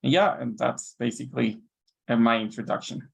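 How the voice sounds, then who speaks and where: Gravelly-voiced man on a video call. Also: mid-range voice, moderate pace. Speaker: consultant and professor. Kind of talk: casual panel introduction.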